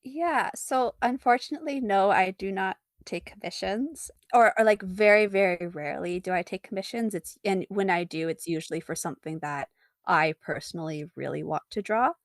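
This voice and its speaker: Female interview guest, mid pitch, casual and giggly, dry recording. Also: normal speed, no room sound. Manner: giggly, laughs often while talking. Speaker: interview guest, artist and fan fiction author.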